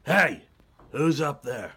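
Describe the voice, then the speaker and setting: A man playing a stern, exasperated janitor in an audio roleplay, scolding and demanding with a rough-edged voice. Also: mid-range voice, very animated, takes it slowly, sharp tone, heavily acted. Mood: suspicious, annoyed. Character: stern, exasperated male building employee guarding his keys.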